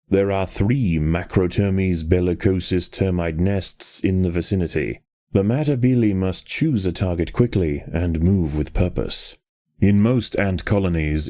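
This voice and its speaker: A man narrating in a deliberate, solemn nature-documentary style for a game. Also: deep voice, warm tone. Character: grave, measured male nature-documentary narrator.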